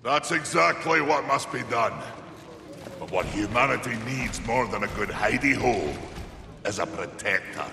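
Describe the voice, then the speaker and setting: A gruff old giant of a craftsman speaks with big swoops of pitch. He is hearty and theatrical, heard in an echoing hall with noise behind him. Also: animated, normal speed. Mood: gruff, weary. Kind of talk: dramatic video game cutscene acting. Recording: echoey hall, clear background noise.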